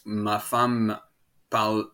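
A man's voice: fairly low pitch, flat delivery, drawn-out words, sharp tone. A man from France, a father as interview guest, chatting casually with many fillers, restarts and laughs. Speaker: guest, French-speaking father.

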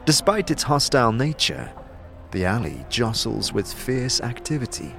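A man's voice: medium pitch, very animated, moderate pace, gravelly, a bit bright, soft background music. A man narrating a scripted fantasy audio drama in a theatrical, descriptive storytelling manner.

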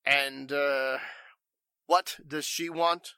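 A man playing an irritable, pompous and evasive warehouse boss in 1940s radio style, gruff and stammering. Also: fairly high pitch, steady tone, extremely slow, sharp tone, no echo, exaggerated voice. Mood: wary.

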